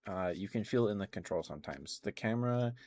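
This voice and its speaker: A man's voice: fairly low pitch, animated, quick pace, darkish tone. A man streaming ASMR, chatting casually in a rambling, hesitant way into a close microphone. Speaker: live streamer. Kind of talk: ASMR, casual rambling chat to live viewers.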